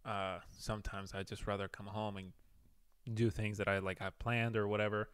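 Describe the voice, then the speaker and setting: A man's voice: lowish voice, flat delivery, moderate pace, fairly bright tone, clean tone, no echo, studio quality. A young man originally from Venezuela, a pilot, chatting in an easygoing, hesitant way with many fillers in a couple's Q&A. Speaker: airline pilot, boyfriend guest. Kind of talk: casual couple Q&A chat.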